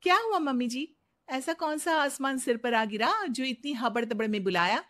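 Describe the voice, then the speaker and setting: A woman voicing a smug young daughter-in-law in an animated story, self-assured and taunting. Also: high-pitched, moderate pace, pitched above the performer's own voice. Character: smug, challenging young daughter-in-law who loves riddles.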